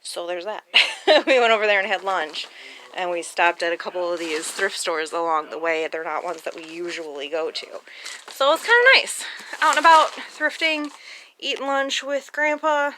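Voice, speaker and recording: A woman's voice: lowish voice, fairly even intonation, normal speed, sharp tone, slight background noise, poor audio. A woman chatting casually and informally as a reseller vlogger, with mild slang.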